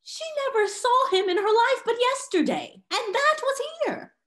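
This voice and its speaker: A woman playing a poised, frank young gentlewoman who gossips, in a stagey Restoration comedy reading. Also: extremely high pitch, lively intonation, moderate pace. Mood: insistent. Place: indoor home room on a video call.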